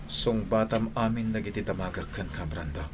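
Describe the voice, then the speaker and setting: A man's voice: on the low side, normal speed, heavy background noise, close to an everyday voice. An actor playing a vengeful, threatening man in an Ilocano radio drama. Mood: grim taunting. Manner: threatening, taunting. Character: vengeful, menacing man holding an enemy at gunpoint.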